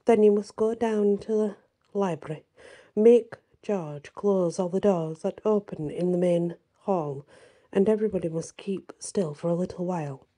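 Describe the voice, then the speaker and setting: Soft, very dark-voiced woman reading a story. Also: lowish voice, measured pace. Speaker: channel host and story reader.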